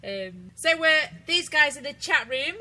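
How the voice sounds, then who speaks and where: A woman's voice: high-pitched, animated, a little slow, pushed and bright. A woman with a British accent co-hosting, chatting casually and laughing often. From Britain. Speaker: co-host. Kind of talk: casual chat.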